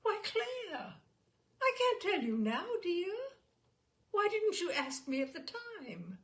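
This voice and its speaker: A woman's voice: very high voice, sing-song, takes it slowly, voice pushed up, heavily acted. A woman voicing a chatty, unflappable wife who insists and justifies herself in a story reading. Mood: flustered. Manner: rambling, insistent, self-assured.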